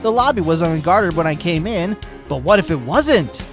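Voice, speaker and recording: A man's voice: a little high, animated, moderate pace. A man voicing Flash, a hyper male sloth witness who talks like a peppy fitness coach. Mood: pumped. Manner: loud, peppy coach-like shouting. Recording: music bed behind voice.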